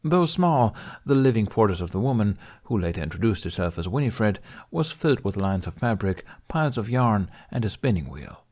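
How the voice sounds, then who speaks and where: A man's voice: lowish voice, big pitch swings, moderate pace, hardly any pauses, a little mellow. A man reading scripted story narration as the narrator of an audiobook.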